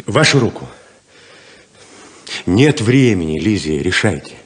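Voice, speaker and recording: An actor playing an ardent, theatrical drifter who sells rain, in a 1970s radio drama. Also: male voice, on the low side, very animated, measured pace, a little hiss or hum. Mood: ardent, urgent. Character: ardent, theatrical drifting male rainmaker and con man.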